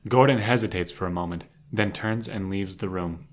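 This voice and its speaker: Low-pitched male narrator. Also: normal speed. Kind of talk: scripted screenplay narration.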